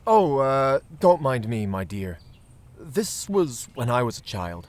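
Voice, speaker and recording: An actor playing a gentle, nostalgic grown man looking back fondly in an audio drama. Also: medium pitch, very animated, slow pace. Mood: wistful, fond.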